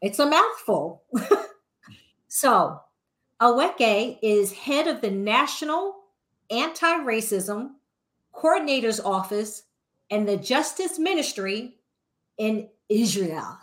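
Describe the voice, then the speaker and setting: A woman hosting a podcast interview, introducing her guest and asking questions in a deliberate way. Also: on the high side, lively intonation. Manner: deliberate, warm introductions with light laughs. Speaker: podcast host.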